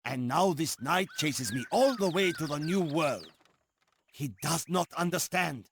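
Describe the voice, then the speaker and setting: A man's voice: a little high, lively intonation, measured pace, distant mic, slight background noise. An actor playing a proud Ottoman commander, disdainful yet reasoning, in a historical strategy game. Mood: scornful, reasoning. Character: proud, scornful Ottoman commander, philosophical rival.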